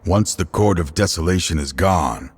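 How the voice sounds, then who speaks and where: A man playing a remorseful, resolute father and dying official in a video game, speaking gravely and haltingly. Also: sits low, natural voice. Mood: grave, anguished. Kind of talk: dramatic video game dialogue. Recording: game music behind the voice.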